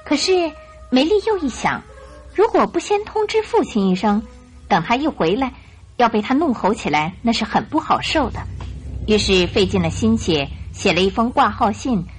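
A woman's voice: fairly high pitch, sing-song, moderate pace, soft background music. A woman giving scripted narration for a radio drama as its storyteller.

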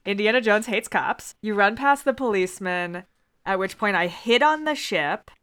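A woman's voice: medium pitch, expressive, normal speed, a bit bright, clean tone. A woman chatting casually as a podcast co-host, recounting things with wry, sardonic asides. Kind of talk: casual chat, recounting a gamebook playthrough.

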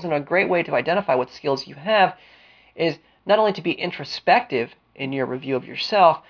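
A man hosting a solo career-advice podcast, smooth-voiced and deliberate, with a cold and a sniffle, explaining with frequent fillers. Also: fairly high pitch, muffled recording. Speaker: podcast host.

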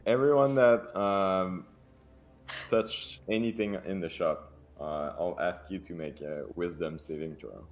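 A man's voice: low-pitched, measured pace. A man narrating a tabletop game as game master, hesitant with many fillers and restarts.

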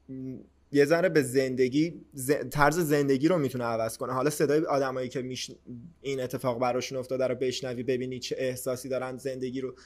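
A man, talking as a podcast host in casual, slangy speech sprinkled with English words. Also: mid-range voice. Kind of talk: casual conversational podcast chat.

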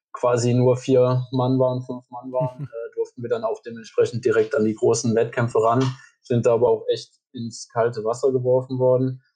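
A man's voice: mid-range voice, rough recording. A young man from Belgium with an East Belgian German accent, answering interview questions as a guest athlete, with many fillers. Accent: German-speaking East Belgium. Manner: relaxed, even delivery with frequent fillers. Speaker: biathlete guest.

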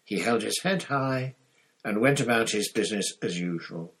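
A man reading aloud and voicing an elderly male headmaster wizard who speaks in a measured, gently dry way. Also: fairly low pitch, expressive, slightly rough, heavily acted. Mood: wry, kindly firm.

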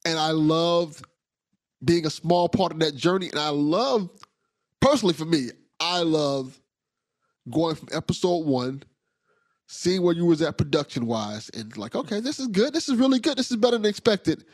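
Male host, casual and eager, slightly higher voice, stumbles and repeats as he gushes; dry, close mic. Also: normal speed, dry recording. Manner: enthusiastic, relaxed, repeats words while thinking. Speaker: podcast host. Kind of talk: casual podcast interview.

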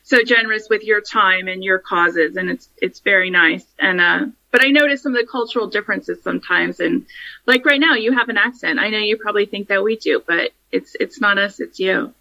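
American woman guest, gushing and enthusiastic, bright tone over dull remote audio. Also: mid-range voice, brisk, creaky. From United States. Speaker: podcast guest, home bar owner. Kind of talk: casual interview chat.

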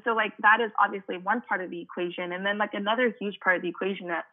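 A woman's voice: medium pitch, fairly even intonation, fast. A young woman from Cameroon, a native French speaker, talking casually as an interview guest, with many fillers. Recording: tinny phone-like line.

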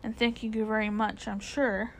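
A woman voicing a humble, honest working-class male cab driver with a Cockney accent, halting, deferential and plain-spoken, in a storybook reading. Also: fairly high pitch, flat delivery, gravelly, slight background noise. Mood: humble, earnest.

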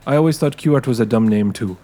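Man, first-person story narrator, wary streetwise ex-con character, mid-pitched voice, music behind. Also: quick pace, dark tone. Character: wary, streetwise male ex-con in a tech dystopia. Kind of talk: first-person dramatized audio fiction narration.

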